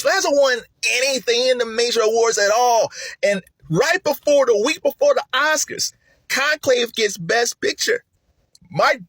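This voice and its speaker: A man talks through his film awards picks in a loose, animated way, his voice jumping up when he's excited and sinking to a near mutter when he's thinking it over. His tone is slightly husky, and he laughs often. The recording is dry and close, with no room sound. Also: dry recording, low-quality recording. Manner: animated, chatty, laughs often, swings between excited highs and low muttering. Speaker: film commentator. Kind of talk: casual spoken commentary.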